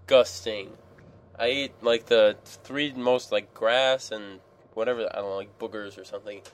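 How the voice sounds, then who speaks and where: A man's voice: on the low side, fairly even intonation, moderate pace, smooth. A teenage man hosting a casual group podcast, rambling with lots of fillers. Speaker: podcast host. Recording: noisy home recording.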